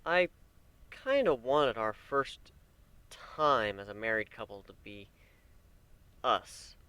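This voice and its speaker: A man voicing a gentle, wry male pegasus pony, a devoted husband, speaking softly and soothingly with light teasing in a story reading. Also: mid-range voice, lively intonation, slow pace, clean tone, dry recording. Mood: quiet, sincere.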